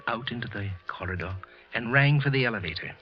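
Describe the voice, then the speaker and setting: An actor playing a bitter office clerk, narrating a halting confession in 1940s radio style. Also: male voice, medium pitch, lively intonation, soft background music, close to an everyday voice. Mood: bitter, brooding. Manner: halting, tense confessional narration with repeated words. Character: bitter, trembling clerk consumed by quiet hatred. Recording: muffled, husky vintage radio recording.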